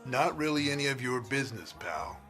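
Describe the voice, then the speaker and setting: Man acting haughty diamond middleman, brusque, irritated, animated pitch, old radio drama, faint music. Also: a little fast. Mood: curt, annoyed. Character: curt, haughty gangland diamond fence.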